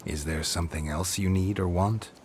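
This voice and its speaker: Low-voiced, terse fantasy hunter, played by a man. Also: fairly even intonation, normal speed, darkish tone, a little hiss or hum, natural voice. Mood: patient, probing. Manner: clipped, understated, dry. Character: terse, weary, steadfast monster hunter searching for his daughter. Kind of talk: video game character acting.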